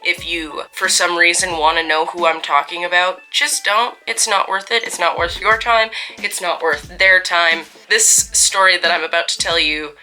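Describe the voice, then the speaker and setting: Chatty, wry young woman, very bright voice. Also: lowish voice. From Canada. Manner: chatty, wry and self-deprecating. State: getting over a cold. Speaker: vlogger telling a story. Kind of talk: casual story time.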